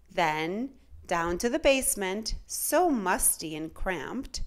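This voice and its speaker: A woman reading a children's picture book aloud in a dramatic, measured, expressive way. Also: medium pitch. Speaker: children's storytime reader.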